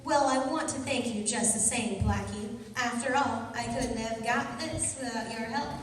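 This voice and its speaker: Crook's adoring moll for radio play female actor, higher voice, wheedling, recorded at a distance in a hall. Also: moderate pace, a little hiss or hum, lightly acted. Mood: adoring, sweet. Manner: sweet-talking, wheedling. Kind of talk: old-time radio crime drama acting. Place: live stage performance in a hall. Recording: very echoey hall, distant mic.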